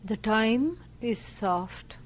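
A woman leading a guided meditation as a teacher, speaking gently in short, measured phrases with pauses. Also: on the high side, lively intonation, a little hiss or hum. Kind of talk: slow guided meditation narration.

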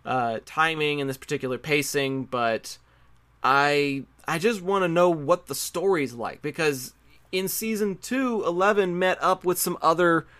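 A man with a slightly high voice talks casually as a podcast co-host. He repeats words for emphasis and adds trailing asides. The sound is close and dry, with no room echo. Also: unhurried, fairly bright tone. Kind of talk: casual pop-culture discussion.

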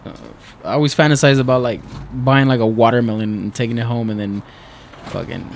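A man's voice: medium pitch, steady tone, a little fast, gravelly, a little hiss or hum. A man who rambles through a casual podcast chat as a guest, swearing, with many fillers. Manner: rambling, profane, lots of fillers.

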